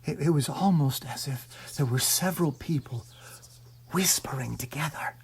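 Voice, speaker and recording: A man narrating a ghost story in an intense, theatrical way, swinging between hushed and shouted delivery. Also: on the high side, normal speed, slight background noise. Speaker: audiobook narrator.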